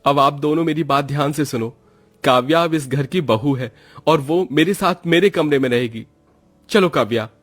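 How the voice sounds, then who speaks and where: An actor playing a firm, protective young husband and son in a melodramatic family story, earnest and assertive. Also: male voice, a little high, normal speed, soft background music, natural voice.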